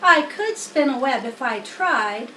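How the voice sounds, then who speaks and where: A woman voicing an eager, boastful, chubby young male pig in a storybook, with an excitable, naive manner. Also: sits high, a little slow, heavily acted. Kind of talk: storybook character voice. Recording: roomy, distant mic, faint noise.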